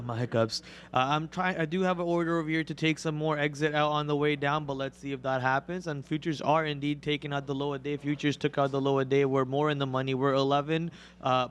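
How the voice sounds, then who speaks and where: A raspy young man hosting a live stream, talking through his trades and calling out prices as they move. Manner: rapid excited play-by-play, gets loud and high when a stock moves. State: raspy voice from a cold. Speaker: day trader and co-host. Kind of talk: live trading commentary.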